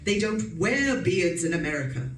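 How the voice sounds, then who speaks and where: A woman's voice: on the low side, big pitch swings, moderate pace. A woman playing a bossy, scolding middle-aged wife in an old-time radio suspense play. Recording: roomy, distant mic, faint noise.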